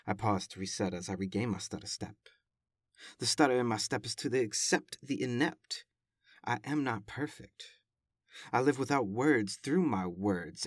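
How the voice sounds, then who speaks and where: A male podcast host talking casually, then reading his spoken-word poem in a calm, reflective, rhythmic way. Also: on the low side, dry recording, studio quality.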